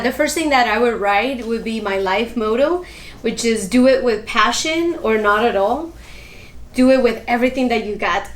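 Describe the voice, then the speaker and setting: Earnest motivational woman in an echoey room. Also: on the high side. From Colombia. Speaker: guest, founder of a nonprofit. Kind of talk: interview answers.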